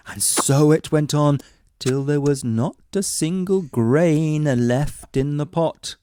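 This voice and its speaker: A man's voice: on the high side, slow pace, warm tone, no echo, clean studio sound. A man narrating a scripted bedtime story as a storyteller, reading expressively and with some drama.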